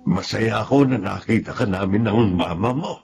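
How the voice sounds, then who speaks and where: A man acting in a Tagalog radio drama as a devoted, sentimental father, speaking earnestly and from the heart in a husky, gravelly voice. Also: fairly low pitch, moderate pace, darkish tone. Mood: nostalgic, tender.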